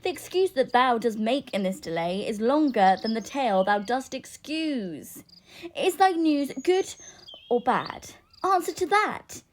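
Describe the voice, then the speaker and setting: A woman's voice: on the high side, expressive, measured pace. A woman playing an eager, impatient young girl in love, speaking verse with dramatic pleading in a Shakespeare audio drama. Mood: urgent pleading. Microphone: phone, recorded at home. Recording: noisy home phone recording.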